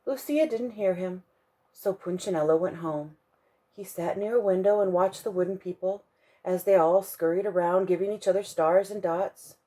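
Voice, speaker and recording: A woman reading a children's bedtime story aloud for a church kids' ministry, expressive and slowing down to stress key moments. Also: lowish voice, rough recording.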